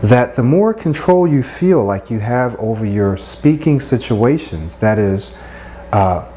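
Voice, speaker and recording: Middle-aged guy coaching, earnest and encouraging, kind of dark mellow voice, average pitch. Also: lively intonation, a little slow, slight background noise. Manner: earnest and encouraging, frequent rhetorical "right?". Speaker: speech coach. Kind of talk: instructional talk.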